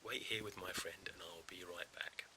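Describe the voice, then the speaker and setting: A man's voice: low voice, steady tone, normal speed, very noisy, lightly acted. A man voicing a young, determined male pig from a storybook, speaking in a whisper. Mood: earnest whisper. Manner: whispered, earnest and plain.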